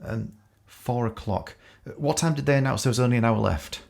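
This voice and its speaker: An actor playing a regretful, self-doubting boyfriend in an intimate audio drama, speaking haltingly and apologetically. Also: male voice, a little mellow. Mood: subdued, regretful. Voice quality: low gravelly voice. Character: Simon, regretful, self-doubting boyfriend near the world's end.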